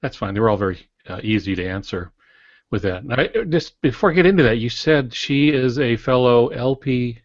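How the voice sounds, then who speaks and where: A man in his sixties co-hosting a casual podcast over an online call, explaining things in a wry, measured way. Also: mid-range voice, lively intonation, no room sound. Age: 60s. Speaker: podcast co-host and writer. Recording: dull, dry, lo-fi online call audio.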